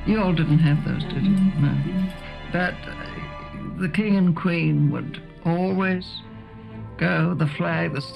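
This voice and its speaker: An older woman recalling wartime in an interview, halting, with wry understatement. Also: deep voice, gravelly, darkish tone, low-quality recording. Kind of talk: interview reminiscence.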